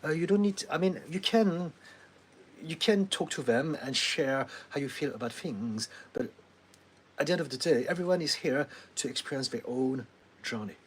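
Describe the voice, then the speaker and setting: French-accented man giving calm advice. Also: fairly high pitch, normal speed, slightly rough. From France. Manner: calm, advising, laughs often, many ums. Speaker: therapist answering live chat questions. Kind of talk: livestream Q&A.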